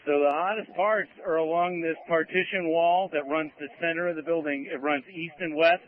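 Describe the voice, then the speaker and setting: A man's voice: on the high side, steady tone, normal speed. A man speaking as a firefighter over a two-way radio from a fire scene. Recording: dull lo-fi scanner radio audio.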